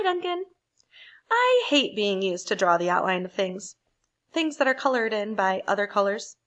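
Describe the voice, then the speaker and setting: A resentful storybook crayon, voiced by a woman, protests unfair treatment. The voice is indignant and fairly high, with lively swings in pitch. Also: full character voice.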